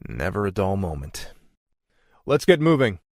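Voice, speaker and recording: A bold, hot-headed young prince from a fantasy game. His voice is low and swings dramatically in pitch, recorded close and dry. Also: sing-song, unhurried, darkish tone, no room sound, heavily acted. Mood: assured. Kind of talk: video game voice acting.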